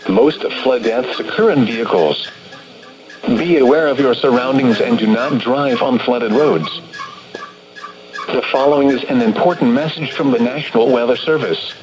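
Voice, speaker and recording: A man's voice: mid-range voice, normal speed, over music. A man's synthetic, automated voice reading a formal scripted weather forecast bulletin as an announcer over the radio. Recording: noisy weather radio broadcast.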